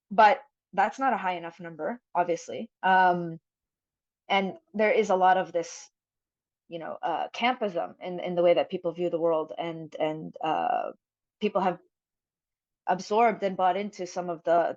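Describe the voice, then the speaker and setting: Low-voiced woman on a dull lo-fi call. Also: normal speed, dry recording. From Jerusalem. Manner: thoughtful, with frequent fillers and restarts. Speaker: panel speaker. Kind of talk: forum talk over video call.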